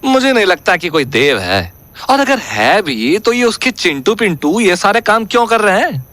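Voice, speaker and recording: A woman voices a cheeky, streetwise female ghost in a horror comedy audio drama. She is blunt and slangy, with a lively, mid-pitched voice. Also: moderate pace. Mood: dismissive, brash.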